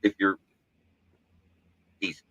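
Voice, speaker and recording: A man's voice: slow pace, no room sound, lo-fi sound. Man with a practiced radio voice. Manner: self-deprecating, animated storyteller with a practiced radio voice. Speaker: podcast host. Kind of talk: casual sports chat. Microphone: podcast mic.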